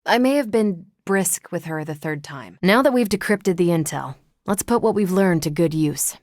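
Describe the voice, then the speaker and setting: A woman playing a confident, wry and brisk superhero soldier in a video game, with a casual, assertive way of talking. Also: fairly low pitch, lively intonation, a little mellow, no echo, natural voice. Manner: casual, dry teasing, assertive. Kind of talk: video game character dialogue.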